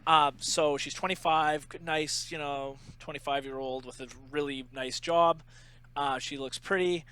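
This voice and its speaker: A man co-hosting a casual podcast with a bright, forceful voice that sits a little high for a man. He jokes and teases sarcastically as he talks through picks. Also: a little slow, smooth. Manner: joking, sarcastic, animated. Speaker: podcast co-host. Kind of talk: casual chat.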